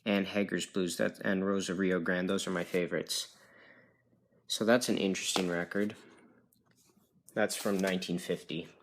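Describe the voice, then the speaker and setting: Guy w a low voice showing off his collection, kinda unsure and rambly, phone video with some noise and clicks. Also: steady tone, normal speed, creaky, long pauses. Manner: hesitant, unsure, self-correcting. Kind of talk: casual rambling show-and-tell. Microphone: handheld phone.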